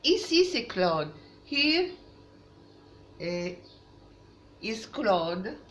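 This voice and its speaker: Woman, language teacher, didactic, repetitive, slow delivery, lively intonation, dull roomy recording with faint noise. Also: mid-range voice. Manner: didactic, repeats phrases, switches into halting English. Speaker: French teacher. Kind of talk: language lesson for children, with repetition.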